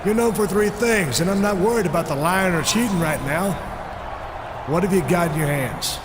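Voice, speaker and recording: A man's voice: high voice, normal speed, lightly acted. A man playing a wary, no-nonsense wrestler in a video game, challenging a rival sternly. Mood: suspicious, impatient. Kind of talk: scripted wrestling game dialogue. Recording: echoey, roomy, faint background noise.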